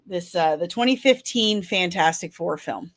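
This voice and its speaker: A woman's voice: fairly low pitch, lively intonation, normal speed. A woman from St. Louis with a Midwestern accent, hosting a casual pop-culture commentary show, laughing and sarcastic as she reacts to clips. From St. Louis, Missouri. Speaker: host of a daily pop-culture news show.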